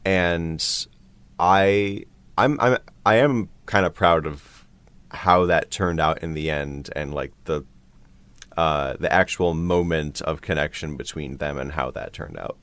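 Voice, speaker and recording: Man, deep register, hesitant casual talk, dry recording. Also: takes it slowly, fairly bright tone, clean tone, no room sound. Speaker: composer for an audio drama. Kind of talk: casual chat about creative work.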